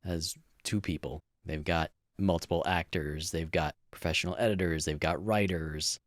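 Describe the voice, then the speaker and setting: Man, deep voice, dry studio-like sound, casual podcast conversation. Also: fairly even intonation, moderate pace, dry recording. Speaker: podcast co-host. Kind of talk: casual co-hosted podcast discussion.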